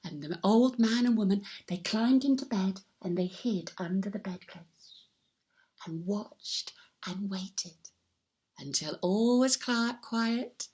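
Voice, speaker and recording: A woman telling a children's story in an animated, dramatic manner, with repeated sound words and hushed asides. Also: medium pitch, takes it slowly.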